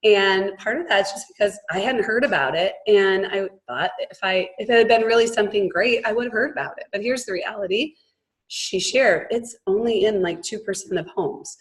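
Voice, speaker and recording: A woman in her thirties with a hoarse voice, having mostly lost it, earnestly sharing her personal story as a guest on a business call. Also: mid-range voice, poor audio. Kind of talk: personal testimonial.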